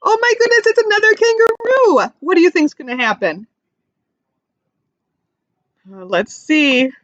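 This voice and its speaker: A woman teaching through an interactive picture-book read-aloud for young children, animated, asking questions and explaining things simply. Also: extremely high pitch, unhurried, no room sound, lo-fi sound.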